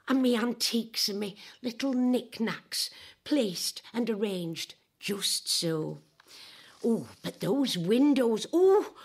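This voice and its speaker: An older woman playing a flamboyant, boozy, eccentric time-travelling adventuress in a dramatic audio monologue, confiding in a drawl with a husky, breathy voice. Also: mid-range voice, full character voice. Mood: whimsical, grand.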